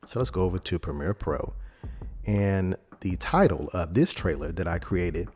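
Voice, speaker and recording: Low-voiced man hosting a casual tutorial. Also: animated, moderate pace, darkish tone, a little hiss or hum. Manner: rambling, conversational, informal. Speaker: video editing tutorial host. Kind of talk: casual software tutorial talk.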